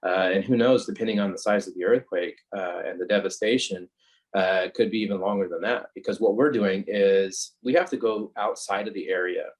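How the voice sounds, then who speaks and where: A man from California, a fire captain giving an informal, halting, conversational explanation as guest speaker on a video call. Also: sits low. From California, United States.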